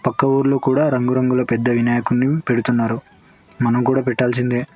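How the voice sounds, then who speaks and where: A man's voice: medium pitch, level tone, quick pace, a little mellow, soft background music, close to an everyday voice. A man playing a stubborn, eager young village man in a cartoon story, talking insistently. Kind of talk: Telugu cartoon story dialogue.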